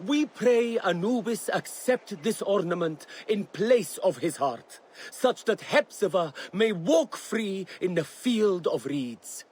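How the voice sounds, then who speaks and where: A man's voice: high voice, lively intonation, slow, darkish tone, slight background noise. A man playing a solemn ancient Egyptian funeral officiant in a video game, intoning reverently. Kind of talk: ceremonial video game acting.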